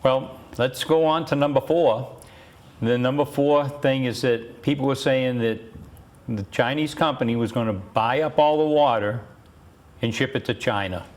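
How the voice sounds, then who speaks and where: A man's voice: medium pitch, moderate pace. A man hosting a local community TV talk show, plainspoken and hesitant, with frequent ums. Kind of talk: casual political talk show.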